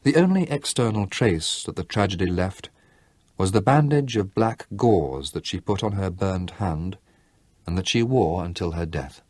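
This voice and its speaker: Low husky-voiced man narrating a novel. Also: animated, normal speed, a little mellow, dry recording. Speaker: audiobook narrator. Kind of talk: scripted literary narration.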